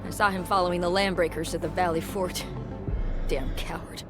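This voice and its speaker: An actress playing a shaken but plucky construction crew leader in a video game, earnest and plain-spoken. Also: female voice, on the low side, fairly even intonation, normal speed, over music, natural voice. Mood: earnest, frank.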